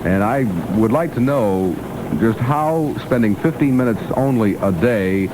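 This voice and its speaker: A man's voice: medium pitch, expressive, a little slow. An American man hosting a morning radio show, announcing and bantering conversationally straight to listeners. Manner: conversational, addresses listeners directly. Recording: noisy vintage 1960s radio aircheck.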